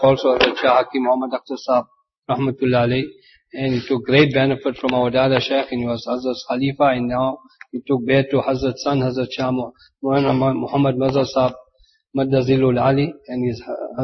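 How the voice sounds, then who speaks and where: A man hosting a religious gathering who introduces guests in a formal, halting way, often repeating words. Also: mid-range voice, monotone. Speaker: event host. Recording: dull lo-fi sound with faint noise.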